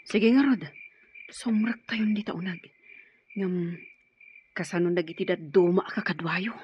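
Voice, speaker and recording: A woman playing a steely, scheming accomplice in a radio drama, commanding and conspiratorial, with a breathy voice. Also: lowish voice, unhurried, slight background noise, lightly acted. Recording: dull, dry radio drama audio.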